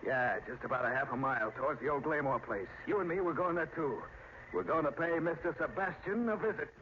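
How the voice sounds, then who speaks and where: An actor playing a grim, stubborn small-town sheriff in an old-time radio drama, with a folksy, plainspoken manner. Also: male voice, on the high side, fairly quick, lightly acted. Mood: tense, watchful. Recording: noisy, muffled old radio recording.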